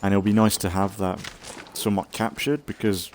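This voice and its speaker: Low-voiced man, rambling game commentary. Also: level tone, moderate pace, a little mellow, slight background noise. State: slightly congested from an oncoming cold. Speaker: gaming let's play host. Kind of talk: casual rambling gameplay commentary.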